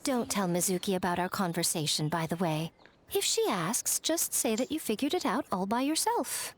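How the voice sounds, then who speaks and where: A woman's voice: mid-range voice, expressive, close to an everyday voice. Woman playing a poised, lilting, sly shrine priestess. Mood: sly, teasing. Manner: measured, articulate, teasing. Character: poised, sly, elegant female shrine head, teasing and self-assured. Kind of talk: English video game voice acting. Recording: faint music behind the voice.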